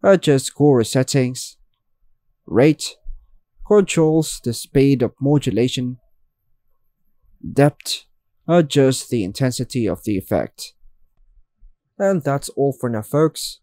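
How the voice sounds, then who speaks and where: A man reading a scripted software tutorial as a voice-over, in an even read-aloud manner. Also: medium pitch, takes it slowly, pauses often, no room sound. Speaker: tutorial narrator. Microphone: voice-over over screen recording.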